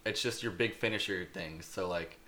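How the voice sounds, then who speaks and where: Man for casual game discussion, lowish bright voice, halting unscripted delivery. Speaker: podcast co-host giving game review.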